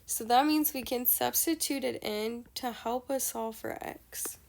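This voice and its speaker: A woman's voice: fairly high pitch, measured pace, gravelly, darkish tone. A young woman math teacher with a nasal, stuffy voice, patiently explaining a lesson step by step. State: nasal from allergies.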